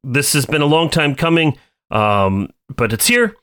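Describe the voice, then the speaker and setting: A man hosting a podcast in a casual chat, leading the talk with many pauses, fillers and restarts. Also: on the high side, sing-song, fairly bright tone, clear, resonant tone. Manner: hesitant, many fillers and restarts, leads the show. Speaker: podcast host.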